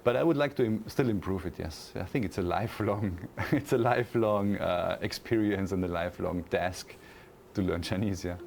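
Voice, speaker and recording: Austrian man with a gravelly low voice. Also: darkish tone, a little hiss or hum. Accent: Austrian German. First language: German. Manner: thoughtful, many pauses and fillers, restarts phrases. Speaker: university lecturer, interview guest. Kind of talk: casual interview answers.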